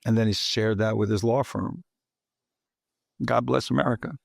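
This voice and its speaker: A low, gravelly male voice, recorded close and dry. He hosts a podcast interview in a chatty, rambling way, steering his guest with leading questions. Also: fairly even intonation, normal speed, no room sound. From Bronx, New York. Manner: chatty, asks leading questions with frequent "right?". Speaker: podcast host. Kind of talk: conversational interview.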